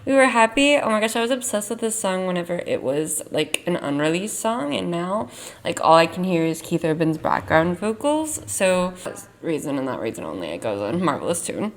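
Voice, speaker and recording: A woman's voice: on the low side, very animated, normal speed. A young woman vlogger chatting casually as she ranks songs, her voice worn from losing it, rambling with lots of "like". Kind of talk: casual tier-ranking chat.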